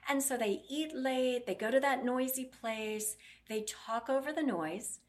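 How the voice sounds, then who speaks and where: A woman's voice: high-pitched, a little slow. A woman voice clinician answering interview questions bluntly, explaining at length and acting out what her patients say.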